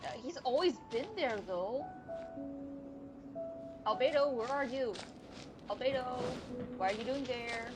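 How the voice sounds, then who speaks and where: Young woman streaming, high-pitched and wry. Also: unhurried, long pauses. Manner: playful, wry asides. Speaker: game streamer. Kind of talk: casual livestream commentary, reading game text aloud. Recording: dull lo-fi mic, game music behind.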